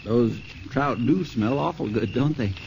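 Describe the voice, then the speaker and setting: A man's voice: mid-range voice, expressive, a little slow, vocal fry, highs cut off, background noise. A man playing a good-natured, folksy fire lookout in a 1950s radio drama, colloquial and eager, on an old radio recording. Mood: friendly, sheepish. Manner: folksy, colloquial, hesitant and drawn out.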